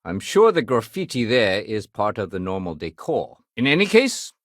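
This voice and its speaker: A man's voice: on the low side, wildly swooping pitch, moderate pace, no echo, clean studio sound. An actor playing a no-nonsense, dutiful male city security sergeant in a video game, with a clipped, official, wry delivery. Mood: dry, firm.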